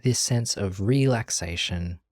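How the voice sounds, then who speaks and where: Slow, low-pitched meditation guide. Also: dry recording, clean studio sound. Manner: gentle, calm, measured with long pauses. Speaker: meditation teacher.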